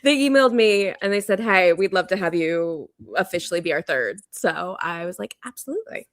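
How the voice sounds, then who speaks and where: A woman's voice: lowish voice, lively intonation, a little fast, no room sound, studio quality. A woman chatting casually as a guest on a podcast. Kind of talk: casual chat.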